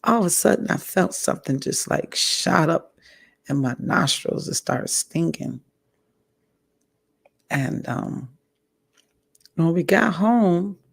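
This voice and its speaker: A woman with a deeply raspy, gravelly voice talks slowly and haltingly, pausing often to clear her throat. Her voice is husky and strained from hoarseness as she gives a candid personal update to her audience. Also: lively intonation. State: hoarse, recovering from a stroke. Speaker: vlogger. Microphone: audio only, home recording.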